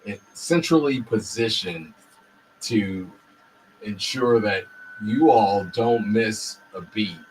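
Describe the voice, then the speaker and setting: Slow-talking male radio host. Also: on the low side, recorded from a distance, a little hiss or hum, low-quality recording. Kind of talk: casual radio chat. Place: college radio studio.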